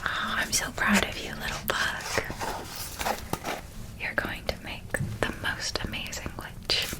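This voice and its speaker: Woman playing a kindly witch mentor, ASMR roleplay, hushed breathy whisper, slow unhurried delivery, tender and affectionate. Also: lightly acted. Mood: proud, affectionate. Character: gentle, doting older witch woman helping with school shopping.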